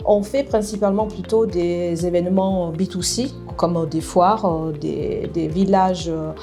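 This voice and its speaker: A woman from Italy with an Italian accent, presenting her work in an interview as an events manager, with hesitant, halting phrasing. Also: fairly low pitch, fairly even intonation, warm tone, faint music behind. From Molise, Italy. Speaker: trade fair and events manager.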